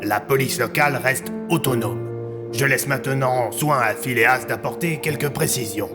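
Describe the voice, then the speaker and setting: A man playing a police commissioner in an audio drama, making a measured, assertive official statement. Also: mid-range voice, over music, lightly acted. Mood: firm.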